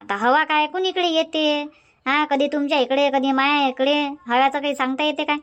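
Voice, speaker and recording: Woman doing old village grandma, Varhadi Marathi accent, nagging, teasing, high voice, cartoon. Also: fairly even intonation, fairly bright tone, highs cut off. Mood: bossy, bickering. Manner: nagging, quick comebacks. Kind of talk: dubbed cartoon comedy.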